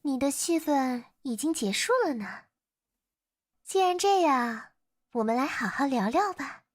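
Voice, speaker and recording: A woman's voice: high-pitched, expressive, measured pace. A woman voicing a playful, philosophical young girl in a visual novel, speaking in a teasing, theatrical, musing way. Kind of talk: visual novel character voice acting in Mandarin. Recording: loud music over the voice. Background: loud background music.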